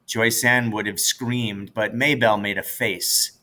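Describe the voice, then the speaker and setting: A man's voice: lowish voice, fairly even intonation, a little slow, sharp tone. A man reading a children's novel aloud in a storytelling narration, like a teacher reading to pupils. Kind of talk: scripted audiobook-style narration of a children's novel.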